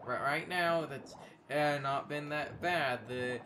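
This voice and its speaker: A man chatting casually as a gaming commentator, halting and repeating words as he explains things. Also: fairly high pitch, sharp tone, a little hiss or hum. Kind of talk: casual unscripted gaming chat.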